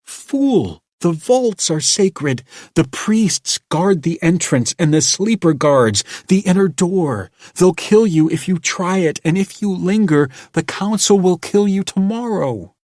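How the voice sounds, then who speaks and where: A man's voice: on the high side, expressive, a little slow, a little mellow, breathy edge, dry recording, clean studio sound, pitched above the performer's own voice, heavily acted. A man voicing a proud, scheming young woman who snaps and hisses at her lover. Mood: sharp. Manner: hissing, snapping, then pleading.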